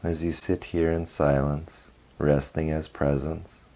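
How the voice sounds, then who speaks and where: A man leading a guided meditation, speaking softly in short phrases with long pauses between them. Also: extremely low pitch, level tone. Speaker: meditation teacher.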